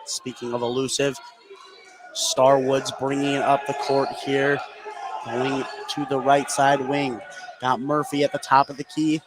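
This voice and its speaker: A man's voice: medium pitch, level tone, unhurried. An American man giving live play-by-play radio commentary on a basketball game. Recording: clearly noisy gym ambience.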